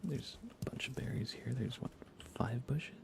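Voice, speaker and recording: A man speaking softly and unhurriedly, often half-whispering, as he rambles through a live ASMR gaming stream. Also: medium pitch, moderate pace, creaky. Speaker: livestream host. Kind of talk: soft-spoken ASMR game livestream chat.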